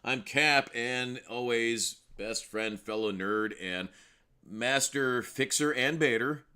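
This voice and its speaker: A man hosting a casual chat, excitable and stammering as he talks. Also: medium pitch, takes it slowly, smooth. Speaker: host.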